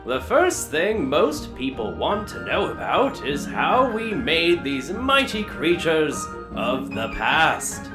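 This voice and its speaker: An actor playing an older, grandiose park founder, presenting a tour video with dramatic, showmanlike storytelling in an audio drama. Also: male voice, on the high side, wildly swooping pitch, takes it slowly, few pauses, reverberant, over music, heavily acted. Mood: grand welcome. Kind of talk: theatrical scripted presentation.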